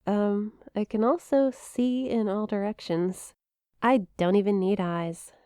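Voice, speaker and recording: A woman's voice: mid-range voice, fairly even intonation, unhurried, mellow, clean tone, no room sound, clean studio sound, lightly acted. A woman playing a shy, playful young eldritch monster classmate in an intimate ASMR roleplay, teasing and hesitant, with a flustered stammer. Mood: casual, explaining. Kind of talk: ASMR roleplay, intimate one-sided conversation.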